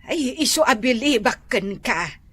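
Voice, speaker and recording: An actress with an Ilocano accent playing a chatty, gossipy older vendor auntie in a comedy radio drama. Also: female voice, fairly high pitch, lively intonation, unhurried, fairly bright tone, breathy edge, full character voice. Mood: gossiping.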